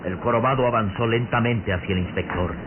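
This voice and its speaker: A man narrating a radio drama in a theatrical, suspenseful style with deliberate phrasing. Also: medium pitch. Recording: noisy, tinny old radio drama recording.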